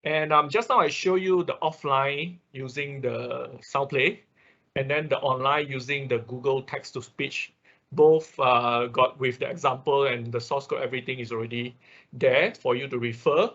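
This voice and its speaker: A man with a husky voice teaching a live online programming class informally, often repeating words and checking in as he explains. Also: medium pitch, steady tone, normal speed, a bit bright, lo-fi sound. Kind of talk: informal live technical tutorial, explaining code.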